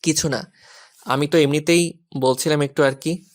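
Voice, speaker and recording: A man's voice: a little high, fairly even intonation, normal speed, natural voice. A man playing a flustered, exasperated young husband who pleads and gives in, in a romantic audio story. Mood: pleading, resigned.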